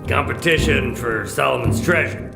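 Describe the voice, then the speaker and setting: A male actor as a cocky, flippant adventurer with a very gravelly voice at an average pitch. He is impatient and casually sarcastic. The radio drama sound is roomy and echoing, over music. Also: normal speed. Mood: casual, curious. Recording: roomy echo, music underneath.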